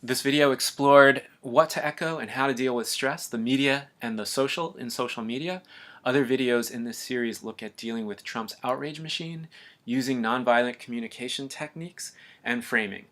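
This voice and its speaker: Calm man narrating an explainer. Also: medium pitch, moderate pace. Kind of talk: scripted voice-over narration.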